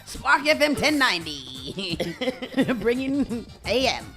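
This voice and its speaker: A woman's voice: on the high side, very animated, takes it slowly, fairly bright tone, faint music behind. Loose, laughing woman radio host. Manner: laughing, loose, greets listeners by name. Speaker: radio morning show host. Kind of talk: casual chat. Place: radio studio.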